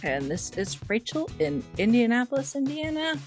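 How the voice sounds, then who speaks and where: Lively American woman podcast co-host. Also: a little high, moderate pace, faint music behind. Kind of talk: casual chat.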